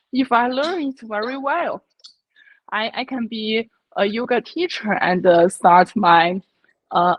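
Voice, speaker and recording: A woman taking part in an English practice chat, speaking hesitantly with many filler pauses. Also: on the high side, no room sound, dull audio. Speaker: English learner, participant. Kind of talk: casual conversation practice.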